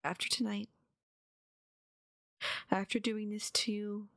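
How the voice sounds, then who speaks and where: A woman playing a despairing, lovesick yandere kidnapper in an intimate audio roleplay, halting and pleading, often slipping into half-whispers. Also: mid-range voice, little pitch movement, fairly bright tone, no room sound, clean studio sound. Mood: pleading, resigned. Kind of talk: intimate ASMR-style dramatic audio roleplay.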